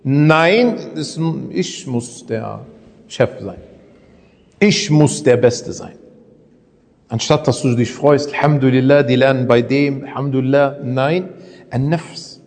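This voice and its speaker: A man's voice: medium pitch, slow pace, a little mellow, big-room sound. A man teaching a religious lesson in a conversational way, often asking his listeners rhetorical questions. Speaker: Islamic preacher.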